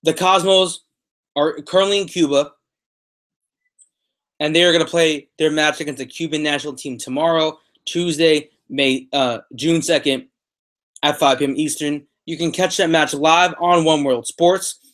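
A man's voice: fairly high pitch, fairly even intonation, normal speed, fairly bright tone. A young man hosting a soccer podcast, talking unscripted to a webcam in a room at home and repeating phrases for emphasis. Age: young adult. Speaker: sports podcast host. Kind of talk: unscripted soccer commentary to camera. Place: small room at home, indoors. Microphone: webcam or laptop at arm's length.

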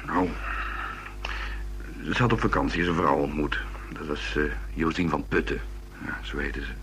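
A man's voice: rumbling low, fairly even intonation, moderate pace, fairly bright tone. An actor playing a hesitant, rambling young man who is a murder witness in a Dutch radio play. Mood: gossipy, reluctant. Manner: hesitant, rambling, restarts words. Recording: noisy old radio recording with hum.

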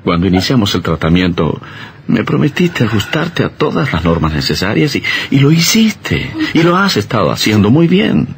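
A man's voice: lowish voice, big pitch swings, normal speed, a little hiss or hum. A man playing a worried, protective doctor husband in a theatrical, earnest radio drama style. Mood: pleading.

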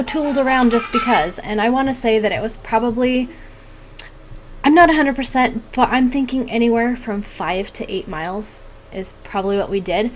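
A woman's voice: on the high side, slight background noise. A woman in her thirties chatting casually and animatedly to the camera as a vlogger. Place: small home room, indoors.

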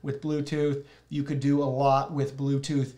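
A man's voice: mid-range voice, completely flat delivery, a little slow, dark tone. A man in his thirties giving a casual, enthusiastic product review to camera, addressing viewers directly. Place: small plain indoor studio, seated at a desk.

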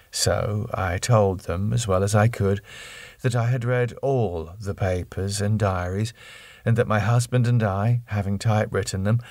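A man's voice: fairly low pitch, a little mellow, dry recording. A man narrating a classic novel as an audiobook reader.

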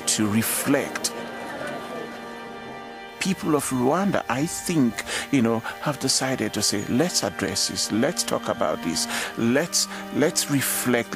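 A middle-aged man from Sierra Leone presenting a documentary report, speaking earnestly and reflectively outdoors. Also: medium pitch. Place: outdoors at a football ground.

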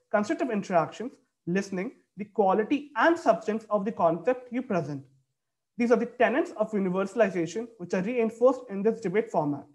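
A young man reads out formal announcements as a debate moderator, evenly and from a script. He is speaking into a computer mic on a video call from an indoor room. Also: high-pitched.

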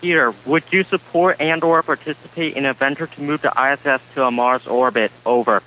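A man's voice: medium pitch, steady tone, fairly quick. A male student reading out questions over a radio link. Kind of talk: read-out question. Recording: very noisy, clicking radio link.

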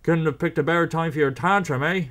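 Man voicing a gruff, bossy shunter engine. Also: mid-range voice, lively intonation, normal speed, close to an everyday voice. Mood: scolding. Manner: brusque, scolding, colloquial.

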